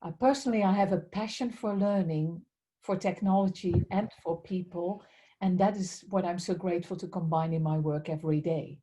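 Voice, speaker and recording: A woman's voice: fairly low pitch, normal speed, dark tone. A woman with a Dutch accent presenting a business webinar politely, often saying how grateful she is, over a video call. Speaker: head of strategic accounts.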